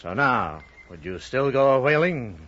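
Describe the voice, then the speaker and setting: An actor playing a gruff, blustering old whaling ship owner, speaking emphatic, archaic thee-and-thou English in a radio drama. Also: male voice, mid-range voice, sing-song, a little slow, a bit bright. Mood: blustering, challenging. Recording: muffled old radio recording.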